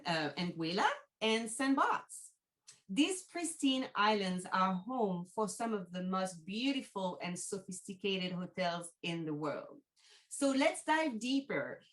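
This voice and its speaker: A woman's voice: medium pitch, a bit bright. French-accented woman presenting a travel podcast. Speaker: podcast host, travel company team manager. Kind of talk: prepared video podcast presentation.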